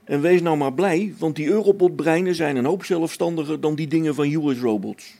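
Male actor as brusque, practical space technician, radio drama; slightly high pitch, mellow timbre, colloquial delivery. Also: brisk, vocal fry. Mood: irritated. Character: practical, matter-of-fact male space-station technician, mildly irritable. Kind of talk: Dutch radio play acting.

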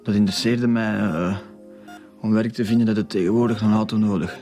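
Guy playing weak young flemish lad in hospital bed, defensive, upset, radio drama, lowish voice. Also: fairly even intonation, brisk, dark tone, soft background music, close to an everyday voice. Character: weak, defensive, distressed young male patient. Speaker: actor in a radio serial.

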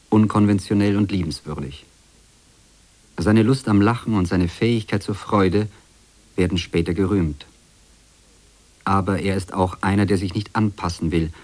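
A man narrates a documentary in a very low, steady voice. His delivery is calm, measured and matter-of-fact. It sounds like scripted radio narration.

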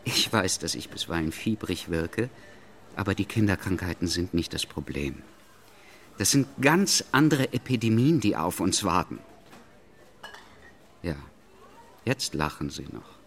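A man's voice: low voice, big pitch swings, a little slow, pauses often, a bit bright, a little hiss or hum, full character voice. Indignant father, wildly swooping voice. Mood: urgent, insistent. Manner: emphatic, needling sarcasm, veiled threats. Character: indignant anti-vaccine father, insistent and menacing. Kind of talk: theatrical dialogue acting.

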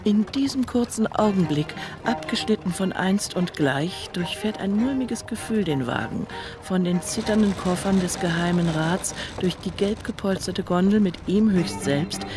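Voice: medium pitch, natural voice. A performer narrating a literary radio play in a composed, precise and descriptive way, as an observant storyteller. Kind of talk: scripted radio drama narration. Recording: music behind the voice.

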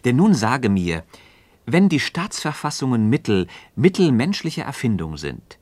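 An actor playing a thoughtful philosopher in a German radio play, questioning a friend in a measured, deliberate, didactic way. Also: male voice, medium pitch, big pitch swings, darkish tone, dry recording. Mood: reasoning, insistent. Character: thoughtful, probing male philosopher leading a friend through argument.

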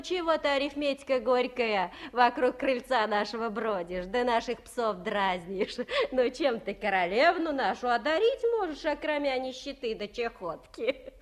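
An actress playing a gossipy young village wife in a 1950s radio play, talking in folksy rural speech and giggling often. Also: female voice, high voice.

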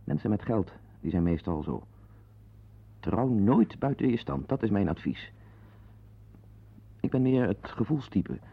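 Radio drama husband: bitter, neurotic, sardonic confider with swooping intonation, muffled lo-fi tape sound. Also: male voice, lowish voice, animated, measured pace, vocal fry, long pauses, breathy edge, full character voice. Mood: irritated, confiding. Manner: digressive, sardonic, prone to outbursts. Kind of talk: radio play monologue and dialogue acting.

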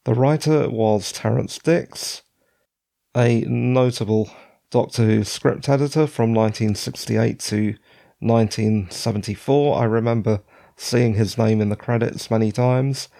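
A middle-aged man hosting a podcast with a hoarse, croaky voice, chatting in a digressive, self-deprecating way. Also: medium pitch, unhurried, dark tone. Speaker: podcast host. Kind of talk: casual podcast commentary.

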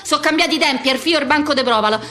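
A woman's voice: sits high, very fast, pushed and bright, a little hiss or hum. An actress playing a neurotic, overwhelmed Roman street woman, rattling off staccato lists in a theatrical monologue with a Roman accent. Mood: agitated. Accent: Roman Italian. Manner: rambling, staccato lists of short phrases.

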